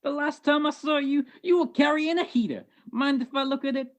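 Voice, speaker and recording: A woman's voice: high-pitched, fairly quick, natural voice. A woman playing a suspicious old male police sergeant who talks in needling tough-guy slang in a radio detective play. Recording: muffled video-call recording.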